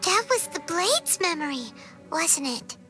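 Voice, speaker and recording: A woman voicing a tiny, childlike fairy girl companion in a video game, squeaky, curious and earnest. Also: very animated, unhurried, music in the background, exaggerated voice. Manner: wide-eyed, earnest.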